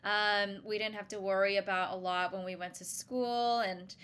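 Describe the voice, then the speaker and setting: Bright-voiced woman from Singapore. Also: mid-range voice, normal speed, clean tone. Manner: relaxed, reflective, many fillers. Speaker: guest, brand founder. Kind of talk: casual podcast interview.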